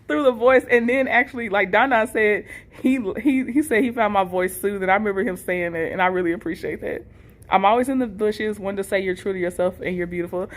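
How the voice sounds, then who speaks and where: Casting: woman, livestream host, mid-pitched voice, informal and appreciative as she chats with viewers. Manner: informal, appreciative, chuckles between remarks. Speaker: livestream host, content creator. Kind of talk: casual livestream chat, reading viewer comments.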